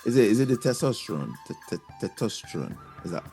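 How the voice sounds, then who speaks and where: Male co-host, short hesitant answers, lively low voice, slightly off-mic. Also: fast, faint music behind. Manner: brief, tentative replies. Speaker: podcast co-host. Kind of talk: casual chat.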